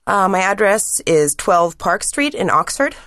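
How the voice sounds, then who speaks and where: A woman's voice: medium pitch, sing-song, measured pace, fairly bright tone, no echo, clean studio sound. A woman playing a polite, hesitant young student applying for volunteer work in a scripted exam listening dialogue. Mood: polite, thoughtful.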